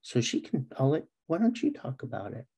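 A man's voice: medium pitch, huge pitch swings, fairly quick, gravelly, dark tone, slightly rough. A middle-aged man chatting casually as a writer guest in an interview over a video call. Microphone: video call microphone.

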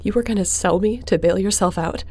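A woman's voice: medium pitch, fairly quick, darkish tone, natural voice. A woman playing a tough, defiant young smuggler in a sci-fi audio drama, blunt, emotional and confrontational. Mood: angry, betrayed.

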